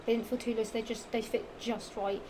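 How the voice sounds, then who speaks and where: A woman's voice: fairly high pitch, flat delivery, a little fast. A young woman with a Norfolk accent chatting casually about shoes in a vlog, rambling and repeating herself, her voice a little strained from the heat. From England. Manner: rambling, self-repeating, giggly, with many fillers and restarts. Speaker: vlogger. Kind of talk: casual chatty product show-and-tell. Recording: noisy home recording.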